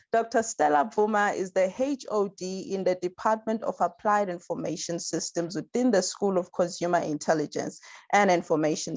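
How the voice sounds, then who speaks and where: A woman's voice: mid-range voice, darkish tone. Woman moderating an online panel. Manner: reads introductions and statistics, some stumbles. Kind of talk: hosting a webinar panel. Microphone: video call.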